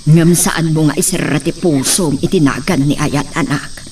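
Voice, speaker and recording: A woman's voice: deep voice, fairly even intonation, normal speed, a little mellow, distant mic, a little hiss or hum, close to an everyday voice. A woman acting a concerned older mother in a family drama, earnest and admonishing.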